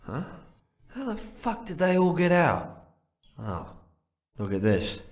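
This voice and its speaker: A bossy male security goon, drawls slowly in an irritable, sarcastic way. His fairly high voice swings around a lot, in gruff audio drama style. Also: wildly swooping pitch, exaggerated voice. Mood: exasperated.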